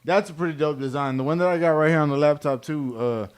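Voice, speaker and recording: Slangy, sweary man with a higher-pitched voice. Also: fast talker, clear, resonant tone. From Corpus Christi, Texas, United States. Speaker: podcast host. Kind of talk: casual chat.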